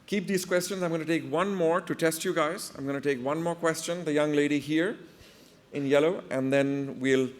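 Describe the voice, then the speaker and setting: Highish-voiced male moderator in a hall. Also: fairly even intonation. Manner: direct, brisk instructions to audience. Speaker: panel moderator. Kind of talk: informal unscripted moderating. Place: conference hall with audience. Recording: reverberant hall sound.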